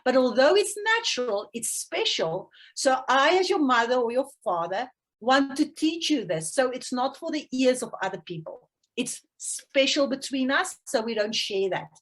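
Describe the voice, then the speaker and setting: High-voiced Afrikaans-woman coach. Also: animated, moderate pace. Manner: warm storytelling, laughs often, checks in with "okay". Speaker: parenting coach. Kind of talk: interview guest explaining.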